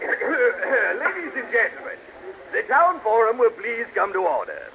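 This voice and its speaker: A man's voice: extremely high pitch, big pitch swings, unhurried, heavily acted. A man playing a pompous, stammering forum chairman who is easily exasperated, in a 1940s radio sitcom. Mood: officious. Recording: noisy, muffled old radio recording.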